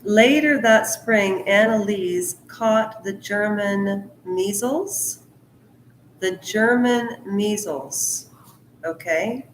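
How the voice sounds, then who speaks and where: A woman's voice: medium pitch, roomy sound, slight background noise, poor audio. A woman hosting her own channel, reading a book aloud and stopping often for rambling asides of her own. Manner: slow, deliberate delivery. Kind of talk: book reading mixed with casual commentary.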